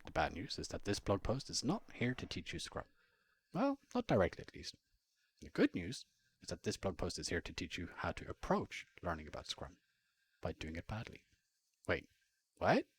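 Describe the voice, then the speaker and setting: A man with a husky voice reads a scripted instructional piece in a measured, explanatory way, with conversational asides. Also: low voice, wildly swooping pitch. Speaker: blogger and Scrum trainer reading own blog post.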